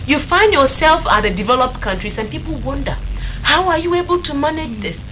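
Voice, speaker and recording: A woman with a Ghanaian accent speaks on a discussion panel, reflective and motivational. Her pitch is fairly high, and faint noise sits under the studio recording. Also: lively intonation, a little fast. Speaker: talk show panelist.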